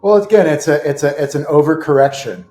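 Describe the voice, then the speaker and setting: A man's voice: a little high, fairly quick, mellow, reverberant, faint music behind. A man hosting a casual podcast discussion about his own custody case. He speaks firmly and emphatically, repeating his points. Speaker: host, father in custody case.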